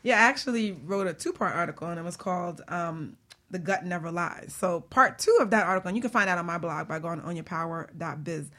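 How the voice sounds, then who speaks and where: A woman hosting a casual talk show, telling stories in an animated, exclamatory way. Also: on the low side, quick pace. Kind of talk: casual chat.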